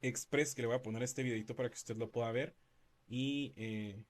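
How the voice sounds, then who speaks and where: A man's voice: mid-range voice, fairly even intonation, normal speed. A man hosting a casual political chat, joking and laughing, speaking directly to viewers. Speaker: host.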